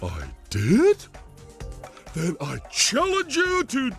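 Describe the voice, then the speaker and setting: A man's voice: very high voice, wildly swooping pitch, takes it slowly, gravelly, fairly bright tone, soft background music, exaggerated voice. A man voicing a dim-witted, self-important male starfish in a cartoon game, dopey and pompous. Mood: confused.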